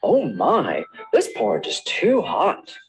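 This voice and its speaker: Goldilocks, a curious little girl, put on by a male storyteller, chirps and exclaims in a raised, bouncy voice that leaps around. She stretches her words out slowly as she tries each thing, over faint music. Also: high-pitched, huge pitch swings, vocal fry, voice pushed up, full character voice. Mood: fussy, exclaiming.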